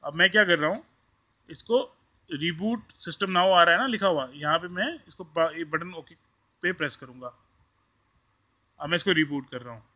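Bright, high-voiced Indian man explaining. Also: normal speed, long pauses. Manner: repetitive, step-by-step explaining. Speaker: tech tutorial host. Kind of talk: informal hands-on tutorial, mixing Hindi and English.

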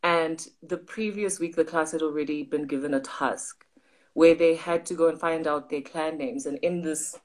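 This South African woman tells stories in a low, lively voice, gesturing as she talks. She speaks over a video call from her study at home, in an easy, chatty way. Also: moderate pace. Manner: animated, gesturing, voices quoted people. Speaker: co-host. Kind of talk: casual storytelling on a livestream. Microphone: video call on phone or laptop.

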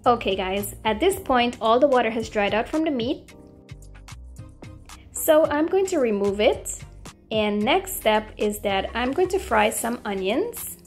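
A woman's voice: on the high side, animated, a little slow. A woman cooking host narrating a recipe voice-over in a friendly, polite way. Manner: friendly, polite, appreciative greetings to viewers. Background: background music.

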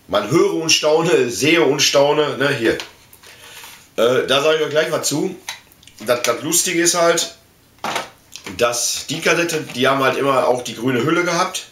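A man's voice: a little high, measured pace, pauses often, fairly bright tone. Slangy middle-aged man in a roomy space. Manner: slangy, informal, rambling. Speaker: video host. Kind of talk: casual unboxing chat. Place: small indoor room full of collectibles. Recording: roomy echo, slight background noise.

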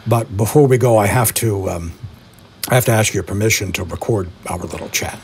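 A man playing a polite, slightly flustered interviewer, speaking courteously and haltingly in a comic phone interview. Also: on the low side, vocal fry, a little mellow, a little hiss or hum. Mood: courteous, tentative.

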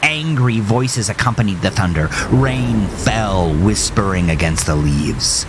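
Very deep-voiced male horror narrator. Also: animated, measured pace, noisy background. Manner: ominous, theatrical. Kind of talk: dramatic scripted horror narration. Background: music.

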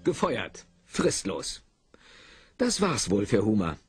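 Highly animated male story narrator. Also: slow pace, loud music behind the voice. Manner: theatrical, wry. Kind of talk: dramatic, colloquial scripted story narration.

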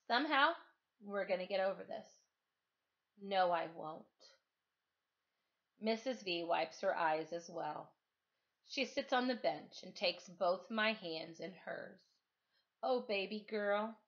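A middle-aged woman speaks as a teacher to her young listeners. Her delivery is expressive, with a mid-range voice. The sound is slightly dull, like a simple device microphone in a living room. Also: mid-range voice. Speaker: teacher reading aloud to pupils. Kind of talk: storytime reading of a novel, then informal address to pupils. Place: living room at home, on a couch.

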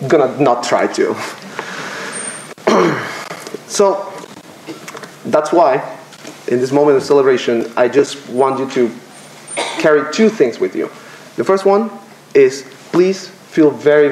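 A young man from Venezuela gives a graduation speech at a podium, halting and self-deprecating, with filler pauses. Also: mid-range voice, a little mellow, a little hiss or hum. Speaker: commencement student speaker. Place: lecture room with podium. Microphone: podium microphone. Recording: roomy lecture hall echo.

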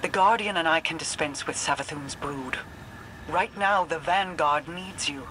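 A woman voicing a regal, commanding mystic in a video game, measured, formal and assured. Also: low-pitched, noisy background. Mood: composed.

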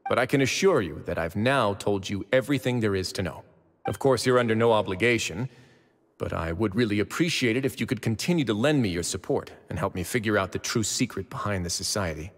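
An actor playing a shrewd, courteous young man in authority in a video game, speaking in a measured, formal way. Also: fairly low pitch. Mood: courteous, earnest. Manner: measured, formal, calmly deliberate. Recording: long hall reverb, game music behind.

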